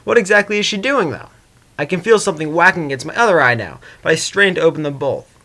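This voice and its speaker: A man plays a sardonic male chaos spirit imprisoned in stone. The voice is fairly high, thinking aloud in a dry, skeptical monologue in a storybook reading. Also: lively intonation, fast, bright, forceful tone. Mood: disoriented.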